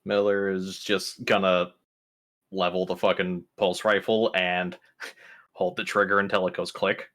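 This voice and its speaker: A man's voice: sits low, flat delivery, a little slow, fairly bright tone. A man playing a tabletop role-playing game, talking casually with the group about moves and rolls. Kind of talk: casual chat during a game.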